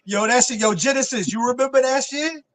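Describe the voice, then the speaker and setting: A man's voice: very high-pitched, fairly quick, a bit bright, dry recording. A man in his late thirties, co-hosting a casual chat, who talks in profane slang, repeats words and keeps saying yo. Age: 30s. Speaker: podcast co-host.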